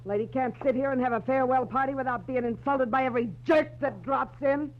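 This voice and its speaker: An American actress playing a boozy, irritable, hard-bitten fortune teller who talks tough slang in 1940s radio style. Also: female voice, sits high, a little fast, slight background noise, natural voice. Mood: hostile. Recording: dull vintage radio recording with music.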